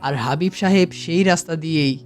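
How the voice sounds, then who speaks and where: A man's voice: fairly high pitch, moderate pace, soft background music, close to an everyday voice. A man voicing the storyteller of a cartoon moral tale in scripted narration. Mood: measured storytelling.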